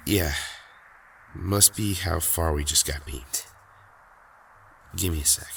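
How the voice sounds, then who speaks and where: An actor playing a cool, wry young superhero in an audio drama, talking in a laconic, casual way. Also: male voice, low voice, takes it slowly, vocal fry, a bit bright, full character voice. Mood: matter-of-fact. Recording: faint background noise.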